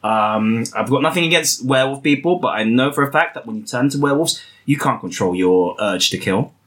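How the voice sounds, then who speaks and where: A man's voice: mid-range voice, animated, fairly quick, a bit bright. Playful, laughing male comedy host. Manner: playful, joking, laughs often. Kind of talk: comedic panel chat.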